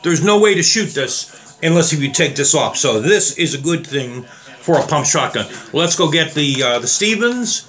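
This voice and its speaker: Slightly hoarse man demoing trigger locks. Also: on the high side, expressive, normal speed, fairly bright tone. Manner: rambling, plain-spoken, addresses viewers as guys. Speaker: gun reviewer. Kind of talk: casual demo vlog.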